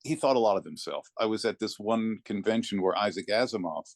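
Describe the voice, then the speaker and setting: A man talking conversationally as an interview guest, telling anecdotes in a reflective way with thoughtful pauses. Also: on the low side, animated, no echo, studio quality. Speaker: interview guest, narrator of classic readings.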